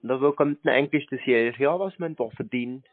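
A man's voice: mid-range voice, brisk, dry recording. Guy on a phone call, casual and slangy, few words, sounds naive, dry thin phone sound. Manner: laconic, colloquial, feigning naivety. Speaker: prank call recipient.

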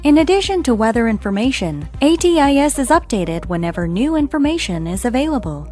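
High, lively woman narrating a lesson. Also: fairly quick, soft background music. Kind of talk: scripted educational narration.

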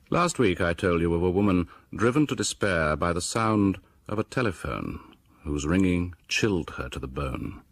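An actor playing a sinister host of a house of horror, narrating in a theatrical, measured, ominous way. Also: male voice, sits low, creaky, slightly rough, dry recording, heavily acted. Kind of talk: scripted radio horror narration.

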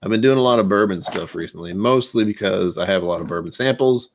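Guy in his 30s rambling on a home stream, kinda low voice, jokes around a lot. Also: fast talker. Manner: rambling, self-mocking, dabbles in joke accents. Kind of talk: casual livestream chat. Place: home room with shelves of bottles.

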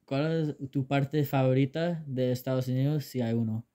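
Young man, very dark soft-edged tone. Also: medium pitch, moderate pace. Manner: hesitant, careful learner's Spanish. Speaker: interviewer. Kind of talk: simple interview questions.

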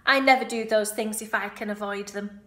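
A woman's voice: medium pitch, fairly even intonation, moderate pace, fairly bright tone, echoey room, lightly acted. Storybook rat, glutton, sour and sneering, voiced by a woman. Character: sour greedy male rat.

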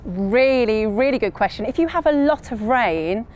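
Woman presenter in her thirties, high bright voice, upbeat and encouraging with kids, lapel mic in a farm polytunnel, faint background hum. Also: expressive, moderate pace. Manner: upbeat, encouraging, addresses children. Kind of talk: live online field trip hosting.

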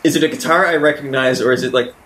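A man's voice: medium pitch, fast, a bit bright. A man chatting casually as a player in an improvised role-playing game. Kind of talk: casual in-character table talk.

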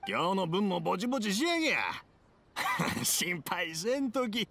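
Old craftsman dad, Kansai accent, gravelly, wildly varied pitch, genial, hard of hearing, anime game. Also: sing-song, bright, forceful tone, full character voice. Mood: puzzled. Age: older. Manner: hearty, rambling, slow to catch on.

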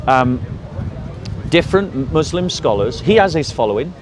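A man's voice: medium pitch, animated, moderate pace. A man debating outdoors in a park, pressing his points and steering the conversation forward. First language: English. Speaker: street debater. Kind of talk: public debate. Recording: crowd noise outdoors.

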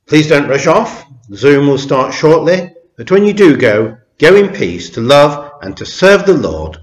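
A middle-aged man, a church minister, giving notices and blessings to camera in a measured, formal way inside a stone church. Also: on the high side, very animated. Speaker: church minister leading a service. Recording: echoey stone church interior.

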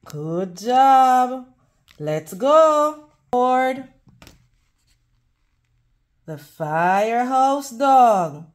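A woman reading a picture book aloud to children in a deliberate, teacherly way, pausing to put questions to them. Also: a little high, sing-song. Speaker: children's read-aloud host.

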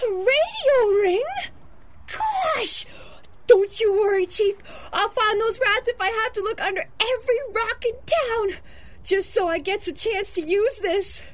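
A woman playing an eager, excitable young street-kid boy with slangy speech in a radio adventure drama. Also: very high-pitched, unhurried. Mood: thrilled, determined. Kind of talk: 1930s-style radio adventure acting.

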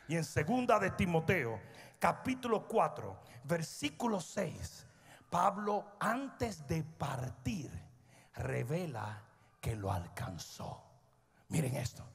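Raspy, high-pitched fervent preacher. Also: male voice. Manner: fervent preaching that swings from hushed asides to shouted peaks; prompts the crowd for responses. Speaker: pastor. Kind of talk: sermon. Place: large church stage before a seated audience. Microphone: handheld microphone. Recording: long hall reverb with faint music.

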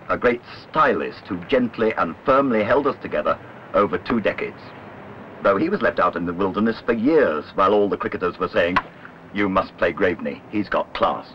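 A man reading a literary documentary narration in a measured, wry way. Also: low voice. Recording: dull lo-fi old film soundtrack, clear noise.

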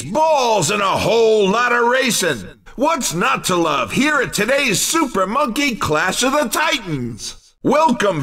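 An actor playing an excitable, upbeat male race commentator in a video game, with showy, hyped announcer delivery. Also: sits high, recorded from a distance, exaggerated voice.

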